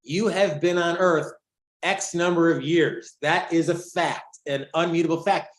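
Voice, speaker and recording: A man on a casual panel discussion, talking deliberately and slowing down to stress key phrases. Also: on the high side, fairly even intonation. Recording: roomy, echoey sound.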